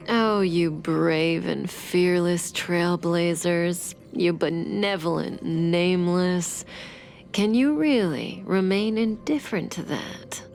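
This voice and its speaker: Slow, low, enigmatic villainess. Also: female voice, gravelly. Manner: measured, teasing, theatrical. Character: calm, enigmatic, seductive female outlaw villain. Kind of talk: video game voice acting. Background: game music.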